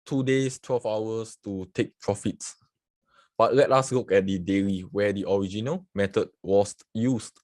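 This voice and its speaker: Low-voiced man teaching trading live. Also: measured pace, a little mellow, dry recording. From Singapore. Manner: casual, repeats "so yeah", greets viewers from the chat. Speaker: forex trading educator on a livestream. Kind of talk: live screen-share market analysis with chat.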